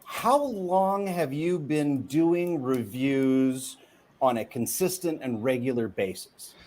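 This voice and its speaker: A man interviewing a guest in a relaxed, enthusiastic way, with many fillers and quick questions. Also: medium pitch. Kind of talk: casual chat.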